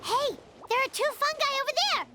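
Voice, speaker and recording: Very high-pitched, excitable little fairy. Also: female voice, brisk, slight background noise, heavily acted. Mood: excited, curious. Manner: chirpy, exclamatory, earnest. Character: tiny excitable childlike female fairy companion. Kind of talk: video game character acting. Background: game music.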